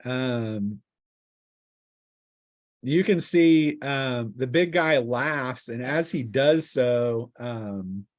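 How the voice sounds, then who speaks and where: A man working as game master, narrating and refereeing a tabletop role-playing game in a halting way full of fillers. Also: mid-range voice.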